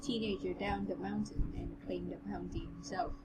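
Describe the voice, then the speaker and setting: A woman with a mid-pitched voice co-hosts a read-along of a novel. She is informal and playful in her reading and commentary. The home recording has clear background noise. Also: fairly even intonation, normal speed. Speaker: co-host and reader. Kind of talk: novel read-aloud with casual, joking commentary.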